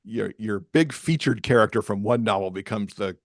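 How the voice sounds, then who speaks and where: Low-voiced American man chatting, dry close sound. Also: normal speed, no echo. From Michigan, USA. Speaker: podcast guest, romance author. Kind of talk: casual conversational interview.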